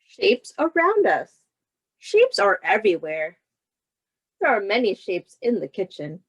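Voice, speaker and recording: A woman's voice: medium pitch, huge pitch swings, a little slow, breathy edge, no room sound, muffled recording. A woman reading a picture book aloud as a teacher, explaining simply to young children and asking them questions. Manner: simple instructive phrasing aimed at young children.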